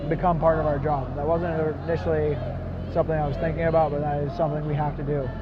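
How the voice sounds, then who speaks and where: A man's voice: on the high side, flat delivery, fast talker. A man chatting casually outdoors while live streaming from a street protest. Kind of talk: casual chat. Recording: muffled livestream, loud street and vehicle noise.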